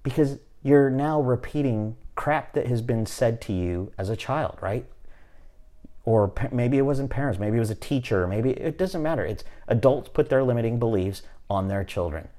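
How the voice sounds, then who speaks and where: A man in his fifties hosting a podcast, talking in a relaxed, motivational way and often checking in with "right?". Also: medium pitch, normal speed. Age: 50s. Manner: conversational, repeats "right?" often, restarts phrases. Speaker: podcast host. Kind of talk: casual motivational chat.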